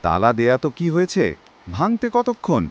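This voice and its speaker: A man's voice: fairly high pitch, huge pitch swings, fairly quick, lo-fi sound. A man playing a loyal, practical village servant, bold and suspicious, in earnest cartoon drama dialogue. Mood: shocked, suspicious.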